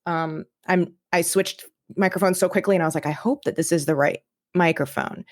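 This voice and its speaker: A woman with a somewhat low voice talks as a guest on a podcast. Her delivery is informal and tentative, in the manner of casual conversation. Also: fairly quick. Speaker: podcast guest, audio drama writer.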